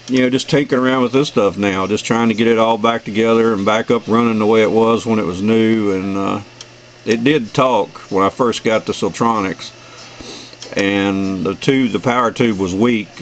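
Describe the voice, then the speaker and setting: An older American man with a fairly low voice talks casually as a radio operator. He rambles along in a folksy way, with steady noise clearly audible around him. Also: poor audio. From United States. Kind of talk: casual chat over the radio. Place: at a home base radio station. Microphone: desk radio microphone in the room.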